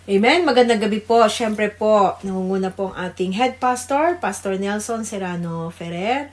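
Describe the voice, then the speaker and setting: A woman's voice: medium pitch, normal speed. A woman with a Filipino accent co-hosting a devotional radio program in a reverent, conversational way. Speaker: radio devotional co-host, pastor's wife. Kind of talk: informal religious radio greetings and prayer.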